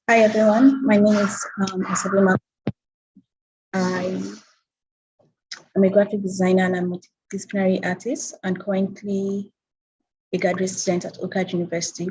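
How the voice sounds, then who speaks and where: A young Nigerian woman whose first language is Hausa, giving a measured talk about her work at an online symposium over a video call. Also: mid-range voice, little pitch movement, a little mellow, rough recording. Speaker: presenting artist.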